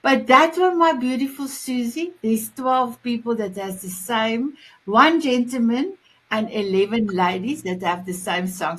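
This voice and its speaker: A woman with a South African Afrikaans accent hosting a talk show in a gushing, affectionate, halting way. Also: a little high, a bit bright, a little hiss or hum. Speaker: show host.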